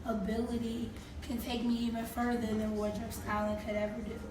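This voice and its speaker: Woman guest, 30s, slightly hoarse, animated, distant noisy echoey recording. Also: fairly high pitch, moderate pace. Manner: animated, acts out quoted speech. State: slightly hoarse, losing her voice. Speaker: guest, creative consultant. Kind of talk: casual interview talk. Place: talk show set on stage, seated in armchairs. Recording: echoey room from far off, noisy.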